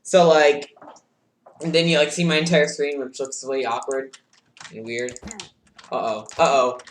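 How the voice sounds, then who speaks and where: A man streaming a game live, reacting excitably with yelps and shouts, keyboard clicking behind him. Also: medium pitch, measured pace, echoey room, a little hiss or hum. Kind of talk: live gameplay commentary. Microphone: desk mic with audible keyboard.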